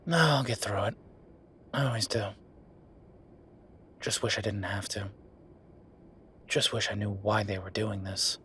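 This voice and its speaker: A man playing a weary, wry younger werewolf boyfriend working late, speaking haltingly in an intimate roleplay audio drama. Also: fairly bright tone, a little hiss or hum. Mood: tired, frustrated. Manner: low-key, sardonic, halting, sighing.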